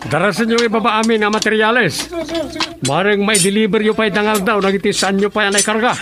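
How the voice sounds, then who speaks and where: A man's voice: sits high, fairly even intonation, fairly quick, lightly acted. Give me a man playing an eager, boastful husband. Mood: instructing. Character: excited husband planning a big new house. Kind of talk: radio drama acting.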